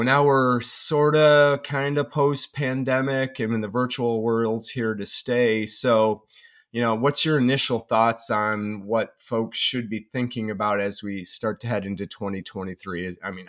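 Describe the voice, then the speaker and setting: A man's voice: on the low side, fairly bright tone. A man hosting a podcast who talks in long, rambling runs, repeats words and fills the gaps with "you know". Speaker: podcast host. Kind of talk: casual interview talk.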